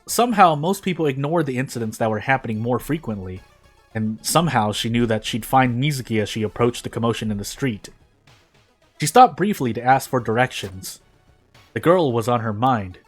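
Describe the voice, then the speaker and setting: Male story reader, mid-range voice, dramatic fan fiction narration with music underneath. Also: medium pitch. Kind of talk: dramatic reading of prose fiction aloud. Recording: music behind the voice. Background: background music.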